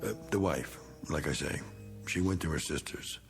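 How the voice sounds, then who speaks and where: Gravelly, boozy middle-aged veteran. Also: male voice, rumbling low, lively intonation, moderate pace, faint music behind, full character voice. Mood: defensive, bitter. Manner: rambling, folksy, slangy. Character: boozy, self-pitying, bigoted middle-aged American war veteran. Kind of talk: 1960s television drama acting.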